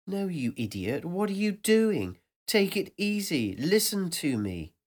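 A man's voice: medium pitch, expressive, takes it slowly. A man voicing the impatient, bossy inner voice in his own head. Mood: exasperated.

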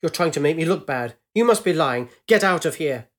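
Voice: mid-range voice, expressive, normal speed, lightly acted. Narrator playing a self-important male political boss, paranoid, indignant, raised accusing voice, audio storybook villain. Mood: furious. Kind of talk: acted dialogue in a short story reading.

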